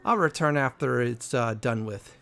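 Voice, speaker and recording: A man giving casual gameplay commentary in a halting, rambling, explanatory way. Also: mid-range voice, vocal fry, fairly bright tone, a little hiss or hum. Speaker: host of a gaming walkthrough.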